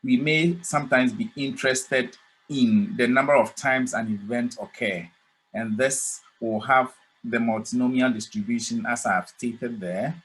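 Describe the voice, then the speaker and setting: A man's voice: mid-range voice, a bit bright, poor audio. Man from Ghana presenting statistics research. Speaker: conference presenter. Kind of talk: academic presentation. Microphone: video call.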